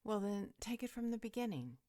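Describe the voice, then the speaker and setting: A woman playing a calm, motherly, protective character in a roleplay audio drama, measured and inquisitive. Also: mid-range voice, lightly acted. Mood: calm, probing.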